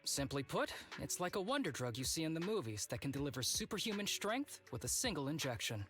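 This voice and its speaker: Languid young officer with lively pitch swings. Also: male voice, a little fast. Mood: serious. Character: laid-back young male executive officer, languid and teasing. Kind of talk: video game voice acting.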